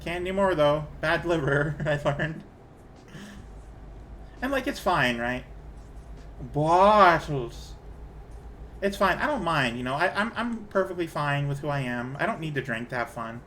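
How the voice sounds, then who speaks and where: A man's voice: fairly high pitch, lively intonation, unhurried, pauses often, a bit bright, slight background noise. A man streaming a game, chatting casually in a rambling way and often restarting his sentences. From Canada. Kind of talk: casual chat while gaming.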